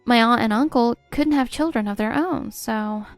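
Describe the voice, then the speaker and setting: Woman acting gentle, high-voiced young employee. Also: moderate pace, close to an everyday voice. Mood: wistful, tender. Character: sincere, gentle young female restaurant employee. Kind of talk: manga voice acting. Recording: music behind the voice.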